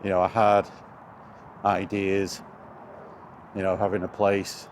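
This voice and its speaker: A man's voice: low-pitched, completely flat delivery, takes it slowly. A man giving a rambling, casual vlog chat about his hobby in a hesitant, conversational, wryly exasperated way. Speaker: video game vlogger. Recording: clear outdoor background noise.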